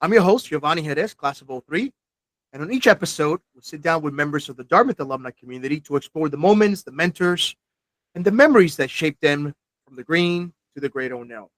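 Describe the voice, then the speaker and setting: A man in his forties hosts an alumni interview podcast, his voice pitched somewhat high for a man. He sounds close and dry, with no room around him. His questions are friendly and loose, full of small restarts. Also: expressive, brisk, fairly bright tone, no echo, low-quality recording. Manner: friendly, scripted intro then chatty questions with restarts. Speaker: podcast host.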